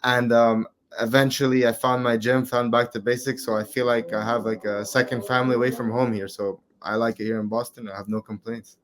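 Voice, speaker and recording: A young man in his twenties from Qatar chats as a podcast guest. He talks in a relaxed, loose way, filling gaps with ums and likes. His pitch sits in an ordinary middle range for a man. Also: fairly quick. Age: 20s. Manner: relaxed, rambling, many fillers. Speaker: guest, amateur MMA fighter. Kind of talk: casual interview chat.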